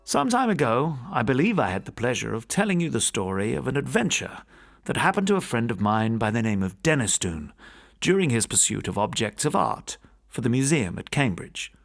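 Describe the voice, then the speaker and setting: A man narrating a classic gothic ghost story in a measured, formal, theatrical storyteller's manner. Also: mid-range voice, big pitch swings, gravelly. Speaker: audiobook narrator and podcast host.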